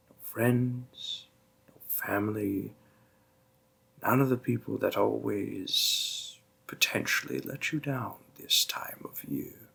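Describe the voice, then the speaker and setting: Demon boyfriend male actor, roleplay audio: doting, coaxing, very slow delivery, husky with a bright edge. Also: lowish voice, heavily acted. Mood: protective, earnest. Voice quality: husky, gravelly, breathy. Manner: slow, deliberate, hushed, with lingering pauses. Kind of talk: slow, intimate roleplay audio monologue to the listener.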